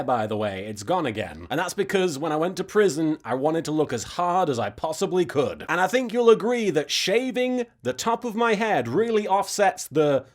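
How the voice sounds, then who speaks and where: Male comedy recap host, high voice with big swings in pitch, sarcastic and ranting. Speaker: host of anime recap show.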